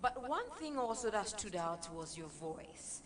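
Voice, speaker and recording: A woman with a mid-pitched voice hosts a casual interview, steering with short prompting questions. A crowd is heard chattering behind her. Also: big pitch swings, unhurried.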